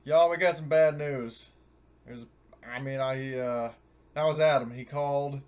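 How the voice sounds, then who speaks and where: A man's voice: mid-range voice, steady tone, a little slow, clean tone, dull audio, lightly acted. A man voicing a folksy, worried crew captain in a tabletop sci-fi game, informal and hesitant. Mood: worried, businesslike.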